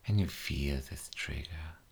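Deep husky-voiced male hypnotist. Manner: slow, soft-spoken, drifts into whispers; repetitive, coaxing. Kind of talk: hypnosis trance recording.

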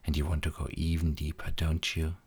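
A man guiding a hypnosis induction in a soft, repetitive way, often dropping to a whisper. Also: a bass voice, monotone, a little fast, slightly rough.

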